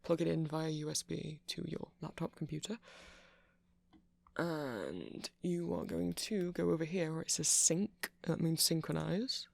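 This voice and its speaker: A man playing a nerdy vampire in an ASMR roleplay, rambling through explanations in a hesitant, meandering way with a creaky voice. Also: on the high side, unhurried, no echo. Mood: earnest explaining. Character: nerdy male vampire, rambling tech enthusiast, gentle boyfriend. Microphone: close mic, dry.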